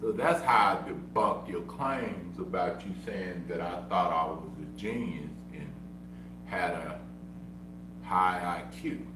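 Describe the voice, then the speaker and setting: Slow, insistent man who stutters. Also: low-pitched. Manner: repetitive, insistent, self-defending. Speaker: streamer. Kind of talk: casual livestream monologue. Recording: echoey room, far from mic, humming noise.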